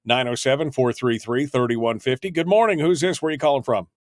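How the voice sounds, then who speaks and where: A man's voice: mid-range voice, a little fast, no room sound, clean studio sound. A man hosting a call-in radio show, talking casually and chattily, often repeating himself. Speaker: radio talk show host. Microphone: studio microphone.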